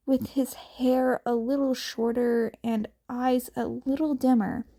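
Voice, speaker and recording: A woman with a fairly high voice narrates fiction from a script. Her reading is very slow and expressive, in the manner of an amateur audiobook.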